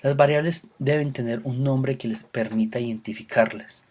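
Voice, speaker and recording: A man's voice: medium pitch, fairly even intonation, moderate pace, a little mellow, a little hiss or hum. A man from Colombia, teaching a recorded programming lesson with patient explanations, frequent fillers and self-corrections. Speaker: programming instructor. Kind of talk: recorded lecture.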